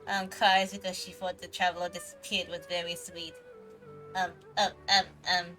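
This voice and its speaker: A woman's voice: fairly low pitch, flat delivery, normal speed, pushed and bright, rough recording. A woman chatting casually on a game livestream in a hesitant, rambling way, often trailing off. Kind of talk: casual game stream chat. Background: faint game music.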